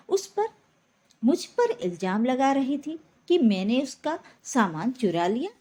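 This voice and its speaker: A woman's voice: on the high side, dark tone, husky, exaggerated voice. A woman voicing an indignant young daughter-in-law who is firm and defiant, in a spoken story.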